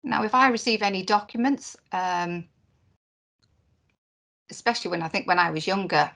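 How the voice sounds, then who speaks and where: Woman, mid-range pitch, live voiced interpretation, short halting phrases, pauses, fillers. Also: creaky, a bit bright. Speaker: sign language interpreter voicing a deaf presenter.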